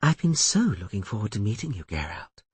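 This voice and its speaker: A man's voice: on the low side, sing-song, breathy edge, no echo, heavily acted. Man doing a gushing, falsely sweet sorceress. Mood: sugary. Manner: sugary, drawn-out. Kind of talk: audiobook reading.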